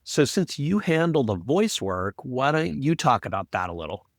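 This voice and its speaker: Animated male podcast co-host. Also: mid-range voice, moderate pace, no room sound, clean studio sound. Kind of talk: conversational production discussion.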